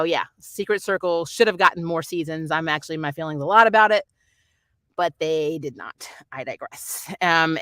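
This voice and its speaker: A woman's voice: lowish voice, pushed and bright, no room sound. A middle-aged woman hosting a casual livestream, chatting in a rambling, opinionated way as she reviews shows and answers viewer comments. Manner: rambling, digressive, opinionated, often laughing. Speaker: host of a nerd culture review channel.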